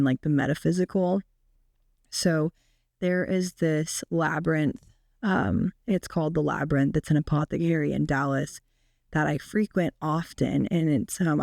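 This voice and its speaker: Young woman, 20s, raspy and hoarse from illness, chatty podcast host, dry close sound. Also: a little mellow, dry recording. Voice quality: raspy, deep. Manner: chatty, many fillers. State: sick, hoarse. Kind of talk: casual solo podcast chat.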